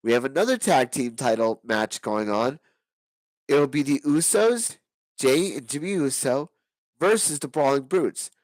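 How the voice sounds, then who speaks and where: Hoarse man rambling through predictions. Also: medium pitch, dry recording, rough recording. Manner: rambling, with many restarts and fillers. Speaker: wrestling predictions vlogger. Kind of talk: casual solo predictions talk.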